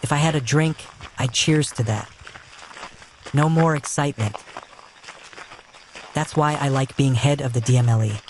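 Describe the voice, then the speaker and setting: Casual, understated wizard played by a man, mid-pitched. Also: normal speed, long pauses, a little hiss or hum, lightly acted, poor audio. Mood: light, wry. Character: easygoing, self-effacing adult male wizard office head. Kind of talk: fan audio drama acting.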